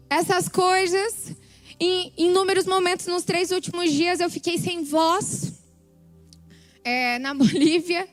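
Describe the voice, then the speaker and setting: A woman preaches with fervor in a Brazilian Portuguese accent, her voice set very high. She stacks up emphatic, repeated phrases, and her voice sounds slightly hoarse and worn. Soft music plays faintly behind her. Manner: impassioned, emphatic repetition. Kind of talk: sermon testimony.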